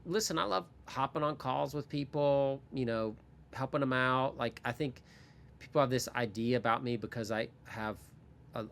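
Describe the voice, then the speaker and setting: A man's voice: lowish voice, fairly bright tone, slight background noise. A man in his forties, a podcast guest talking candidly and thinking aloud in a casual interview. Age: 40s.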